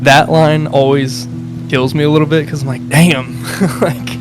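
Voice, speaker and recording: A man's voice: on the high side, flat delivery, unhurried. A young man with a Midwestern accent, talking in a casual, reflective way with lots of fillers and restarts. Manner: hesitant, many fillers and restarts, laughs often. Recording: music playing behind.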